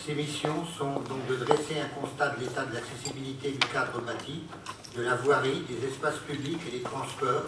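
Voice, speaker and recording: A man, an elected local official, formally presenting a council report in a halting, word-searching way. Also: mid-range voice, steady tone. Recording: very echoey hall, distant mic, noisy.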